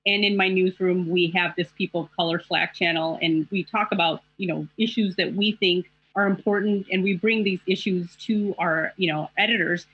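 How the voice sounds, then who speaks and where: A middle-aged woman journalist talks candidly on a video call, pausing with frequent fillers as she reflects. Her voice sits a bit low and sounds completely dry. The call audio is dull and lo-fi. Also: fairly even intonation, slightly rough, no room sound. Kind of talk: panel discussion answer.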